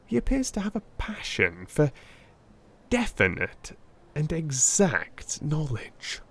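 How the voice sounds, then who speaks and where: A hesitant young Victorian gentleman, acted out by a male reader, gossipy and emphatic. His pitch rises and falls widely as he picks his words in audiobook dialogue. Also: wildly swooping pitch, slow pace, full character voice. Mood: uneasy, confiding.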